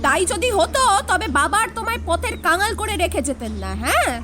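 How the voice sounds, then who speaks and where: An actress playing a domineering, sharp-tongued elder sister-in-law in an audio drama, scolding and quarrelsome. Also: female voice, very high-pitched, very animated, normal speed, soft background music, full character voice. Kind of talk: Bengali audio drama acting.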